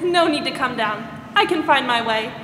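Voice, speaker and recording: A woman's voice: high voice, animated, normal speed, sharp tone. A young woman playing a polite, sympathetic young social worker in a 1940s-style radio drama on stage. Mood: polite, helpful. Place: large theatre stage, indoors. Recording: echoey stage hall, faint noise.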